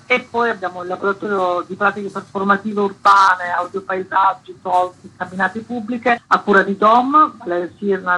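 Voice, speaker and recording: A woman's voice: fairly low pitch, sharp tone, breathy edge, low-quality recording. A woman explaining a programme in detail as a guest in an informal radio interview. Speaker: guest presenting an arts project.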